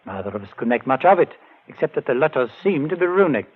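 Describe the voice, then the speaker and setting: An actor playing a grieving brother set on finding a murderer, earnest and urgent in 1940s radio drama style. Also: male voice, mid-range voice, sing-song, fast. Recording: tinny old radio broadcast.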